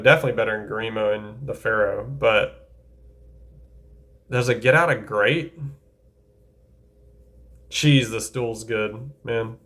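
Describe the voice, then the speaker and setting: A man's voice: medium pitch, creaky. Chatty man ranking anime duels. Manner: chatty, enthusiastic, thinks aloud with self-corrections. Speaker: anime tier-list creator. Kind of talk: casual tier-list commentary.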